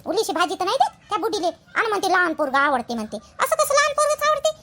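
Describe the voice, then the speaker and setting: Really squeaky bright village gossip lady woman acting, cartoon, vidarbha marathi accent, argues hard. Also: sing-song, fast, heavily acted. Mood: scornful. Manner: mocking, argumentative, emphatic. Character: sharp-tongued, scornful village woman. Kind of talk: colloquial cartoon gossip dialogue.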